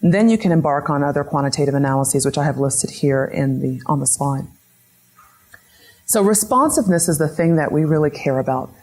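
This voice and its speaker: A woman's voice: deep voice, expressive, brisk, darkish tone. A woman giving an academic lecture, methodically posing a string of questions to her audience. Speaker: lecturer on patient-reported outcomes. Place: lecture room.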